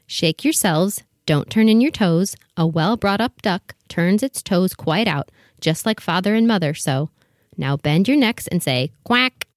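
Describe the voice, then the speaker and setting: Woman acting mother duck, storybook character, lowish female voice, dry close sound, proud, fussy, protective, instructive. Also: lively intonation, a little slow, dry recording. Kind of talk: full cast storybook dramatization.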